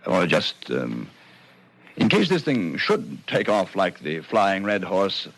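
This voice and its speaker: A man acting a skeptical, nervous gentleman in a vintage radio drama, speaking in a hesitant, incredulous manner with a slightly husky voice. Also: deep voice, wildly swooping pitch, slow pace, full character voice. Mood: incredulous, uneasy.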